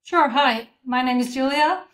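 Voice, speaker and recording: Higher-pitched Swiss German woman introducing herself. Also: a little slow. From Switzerland. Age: middle-aged. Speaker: relocation consultant, guest speaker. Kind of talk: self-introduction in a webinar.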